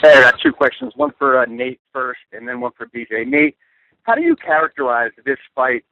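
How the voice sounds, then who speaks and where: A man's voice: medium pitch, wildly swooping pitch, fairly quick, creaky, no room sound. A male sports journalist informally asking and rephrasing questions at a press conference. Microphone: phone. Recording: dry, narrow telephone line.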